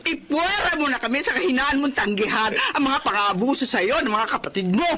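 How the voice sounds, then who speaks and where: Fed-up, high-voiced scolding wife. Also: female voice, expressive, fairly quick, noisy background. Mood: exasperated, defiant. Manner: emphatic, scolding. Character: fed-up, defiant wife and mother standing her ground. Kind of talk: radio drama acting.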